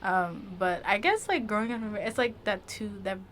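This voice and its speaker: A woman's voice: mid-range voice, expressive, fairly quick, a bit bright, a little hiss or hum. Young woman who rambles, full of "like". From Maine, US. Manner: rambling, lots of "like". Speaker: guest. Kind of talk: casual podcast chat.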